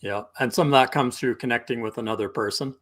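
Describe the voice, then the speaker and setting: A man's voice: mid-range voice, fast. A middle-aged man talking as a couples coach on a live video call, explaining things conversationally with examples. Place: indoor room, video call.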